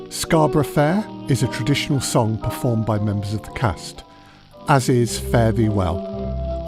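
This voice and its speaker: Audio drama end credits: male announcer, scripted read, medium pitch, music loud underneath. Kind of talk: scripted narration. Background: prominent music.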